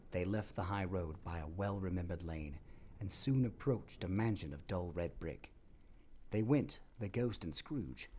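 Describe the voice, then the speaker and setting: Expressive, deep-voiced male storyteller. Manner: expressive, measured phrasing with dramatic pauses. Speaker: narrator. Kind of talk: dramatic scripted storybook narration.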